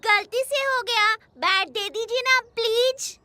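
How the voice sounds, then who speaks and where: A childlike, playful cartoon mum. The voice is extremely high and squeaky, naive and pleading, over loud children's music. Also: slow. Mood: sheepish, pleading. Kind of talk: animated comedy cartoon dialogue.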